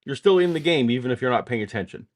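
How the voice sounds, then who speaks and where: A male co-host with a mid-range voice talks casually and stays relaxed as he steers the live segment along. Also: medium pitch, racing. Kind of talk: casual livestream chat.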